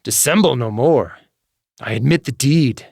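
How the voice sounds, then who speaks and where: Husky man in theatrical horror narration. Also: mid-range voice, measured pace, darkish tone, no room sound, studio quality. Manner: theatrical, intense, emphatic. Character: guilt-crazed murderer confessing, tormented, manic. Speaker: story narrator. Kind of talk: dramatic scripted horror narration, first-person.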